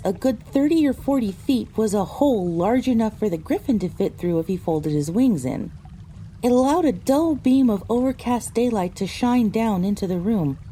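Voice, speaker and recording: A woman playing a pony who narrates her own adventure in a measured, descriptive storytelling style. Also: mid-range voice, dark tone, a little hiss or hum, natural voice. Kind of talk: scripted first-person story narration.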